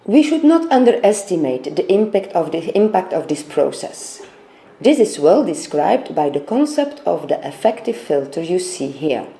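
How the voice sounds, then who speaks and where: A woman who speaks natively delivers a measured, explanatory training lecture. Her voice is low and soft-edged, with lively rises and falls in pitch. She reads from a prepared script as she teaches. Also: gravelly. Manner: measured, explanatory, reading quotes aloud. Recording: roomy, echoing voice-over recording.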